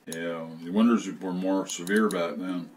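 A man, recalling things casually and haltingly. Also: sits low, steady tone. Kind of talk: casual recollection.

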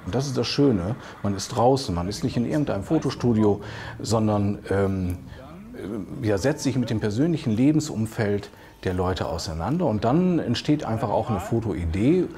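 A man's voice: lowish voice, animated, normal speed, dark tone. A middle-aged man giving a reflective interview answer. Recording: clear background noise.

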